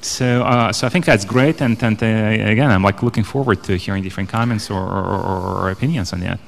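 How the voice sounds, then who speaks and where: A man's voice: on the low side, brisk, vocal fry, a little hiss or hum. A man in his thirties or forties from Lithuania speaking off the cuff as a conference panelist, with a hesitant, repetitive delivery. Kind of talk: panel discussion, off-the-cuff argument. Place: indoor conference stage. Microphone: handheld microphone. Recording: reverberant hall through handheld microphone.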